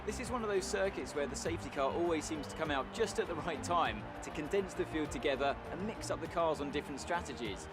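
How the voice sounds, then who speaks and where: An actor voicing an expert co-commentator who breaks down the race with measured analysis. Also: male voice, on the high side, expressive, lightly acted. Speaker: in-game co-commentator. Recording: game audio with loud music underneath.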